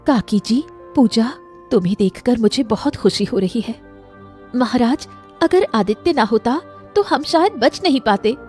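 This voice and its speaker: A woman voicing a gentle, forgiving queen, soft and sincere, in a moral-story cartoon. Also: a little high, expressive, unhurried, faint music behind. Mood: relieved, tender. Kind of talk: animated Hindi moral-story dialogue.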